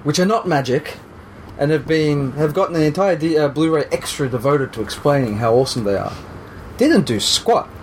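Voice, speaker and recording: A man's voice: fairly high pitch, lively intonation, normal speed, slight background noise, rough recording. A man hosting a podcast, chatting casually and rambling a little with wry asides. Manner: rambling, self-correcting, wry. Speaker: podcast host. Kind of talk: casual chat.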